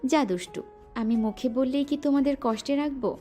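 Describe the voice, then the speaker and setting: A woman playing a playful, affectionate young wife in a romantic audio drama, teasing and pouting, with soft music underneath. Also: sits high, normal speed, lightly acted.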